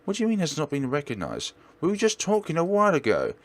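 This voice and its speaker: A man acts out a jittery young woman in a horror visual novel. She exclaims dramatically and talks to herself as she goes. The pitch leaps about with plenty of animation. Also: huge pitch swings, fast talker, exaggerated voice. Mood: anxious disbelief. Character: Isabel, jittery young female estate agent.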